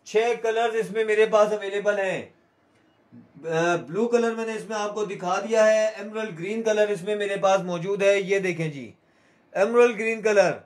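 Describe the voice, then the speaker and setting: High-voiced Pakistani man pitching sales. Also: normal speed. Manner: repetitive, urging, salesman-like.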